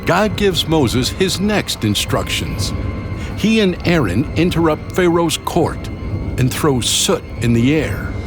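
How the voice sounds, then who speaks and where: A man narrating a scripted children's story in a dramatic, expressive way. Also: fairly high pitch, slow pace. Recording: loud music behind the voice. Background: music.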